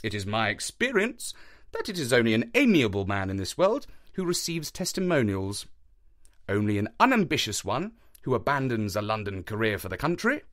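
A man voicing a brisk, self-assured gentleman detective, speaking in an emphatic, theatrical way with a high, lively, swooping delivery. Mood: lecturing. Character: brisk, eager gentleman detective, sharp and sure.

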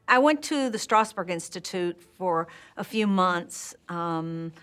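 A woman's voice: on the low side, clear, resonant tone. An older woman from Texas with a Texan accent, candidly recalling her acting start in an indoor interview, laughing often. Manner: warm and candid, laughs often, restarts sentences. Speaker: actress interviewee. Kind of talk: reflective interview talk. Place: indoor room with curtains and plants.

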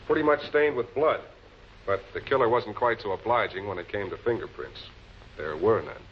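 An actor with an American accent playing a composed, probing public prosecutor in a 1940s television crime drama. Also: male voice, on the low side, moderate pace, lightly acted. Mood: brisk, composed. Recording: muffled, noisy old 16 mm film soundtrack.

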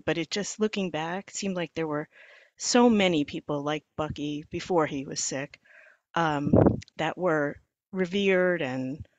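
A woman's voice: sits low, dry recording. A woman from Newark speaking up in a video discussion, hesitant and reminiscing, with fillers. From Newark, New Jersey. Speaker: participant. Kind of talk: unscripted comment. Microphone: video call. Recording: dull, muffled call audio.

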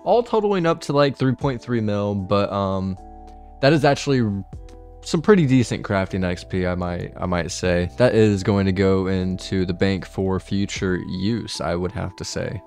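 A young man with a raspy, hoarse voice from being ill, chatting casually over his gameplay and swearing now and then. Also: deep voice, moderate pace, darkish tone, soft background music. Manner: laid-back, swears casually. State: hoarse from illness. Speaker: gaming video creator.

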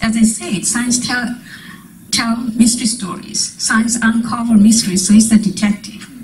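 High-voiced woman lecturing from far off. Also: a little mellow, slight background noise. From Bangladesh. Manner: storytelling, wondering tone, breaks into light laughs. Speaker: guest speaker. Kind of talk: lecture with poetry reading. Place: community meeting room. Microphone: handheld microphone.

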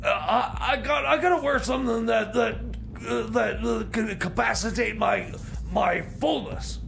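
Comedy radio deejay: blustery, halting, easily exasperated, very high and heavily gravelled. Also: male voice, fairly bright tone, slight background noise, heavily acted. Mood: flustered, exasperated. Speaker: radio host. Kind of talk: comedy radio theater, music show banter.

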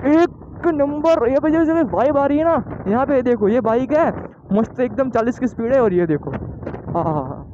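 Young male motovlogger from Gujarat, chatty and excitable, high voice, muffled helmet-mic audio with wind. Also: unhurried. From Gujarat, India. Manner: chatty and excitable, calls viewers guys. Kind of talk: casual motovlog narration while riding. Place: outdoors on a moving motorbike.

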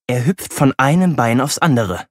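A man's voice: medium pitch, expressive, moderate pace, dry recording, studio quality. A man reading a children's audiobook expressively, telling it wryly from a boy's point of view. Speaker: audiobook narrator. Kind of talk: expressive children's audiobook narration as a boy narrator.

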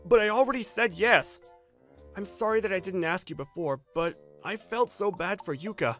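A man's voice: sits high, moderate pace, a bit bright, faint music behind. A man voicing an apologetic pushover of a young husband, pleading his case in a manga dub.

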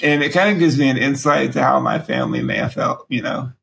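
A man in his forties with a raspy voice, speaking through a breathing machine mouthpiece and pausing often as a guest on a video call. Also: mid-range voice, wildly swooping pitch, fairly bright tone, a little hiss or hum. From Alabama. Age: 40s. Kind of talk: candid personal interview. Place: small room at home, indoors.

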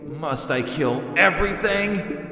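A man's voice: on the high side, lively intonation, slow pace, over loud music. A man voicing an awkward, lovestruck impostor crewmate in a comic dub, hesitant and prone to melodramatic outbursts. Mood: frantic, despairing.

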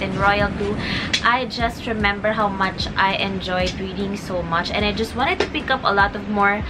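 A woman's voice: mid-range voice, rough recording. Chatty young woman vlogger. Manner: chatty, rambling with restarts, switches to Tagalog phrases. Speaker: book vlogger. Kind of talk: casual talk to camera. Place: small bedroom, indoors.

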